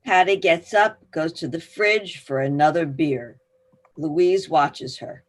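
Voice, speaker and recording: A woman's voice: deep voice, slow. A woman reading stage directions as scripted narration for a play.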